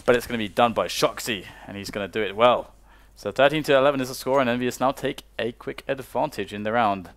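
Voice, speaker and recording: Male esports caster, booth headset, brisk play-by-play that jumps up at big moments. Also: medium pitch, dry recording. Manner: quick excited calls, rises sharply at big plays. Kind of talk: play-by-play commentary.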